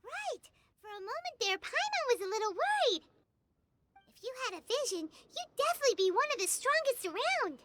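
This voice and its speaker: Tiny fairy companion for a game: extremely high, animated, eager and childlike, over loud music. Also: female voice, normal speed, very noisy. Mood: excited. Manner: speaks of self in third person. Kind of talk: video game character acting.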